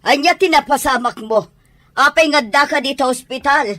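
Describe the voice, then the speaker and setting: Woman playing exasperated scolding mother, Ilocano accent, high-pitched, bright cutting voice, exclamatory, audio drama. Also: normal speed. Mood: alarmed.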